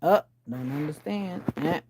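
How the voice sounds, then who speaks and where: Woman, middle-aged, raspy, sleepy, low deep voice, gravelly, livestream chat, phone at home. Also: lively intonation, a little slow, a little mellow, slight background noise. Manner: chatty, teasing viewers by name. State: raspy from a sinus infection; sleepy and exhausted. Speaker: livestream host. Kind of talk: casual livestream chat with viewers. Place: at home indoors.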